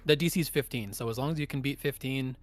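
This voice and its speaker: A man with a mid-pitched voice runs a tabletop game as game master. He talks casually through rules and describes what happens, with soft music in the background. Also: fast talker, no echo.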